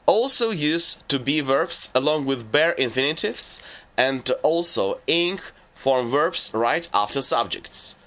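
A man giving scripted narration for a language lesson, laying out rules and lists in a measured, deliberate way. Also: mid-range voice, animated, a bit bright. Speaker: language teacher.

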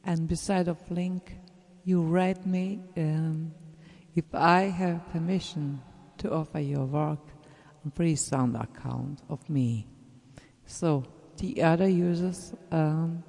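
A speaker with a German accent, a native German speaker, giving an earnest, encouraging message to fellow artists, halting and searching for words with many fillers, and trailing into soft whispers. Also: mid-range voice, creaky. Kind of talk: casual spoken message to listeners. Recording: roomy with long reverb.

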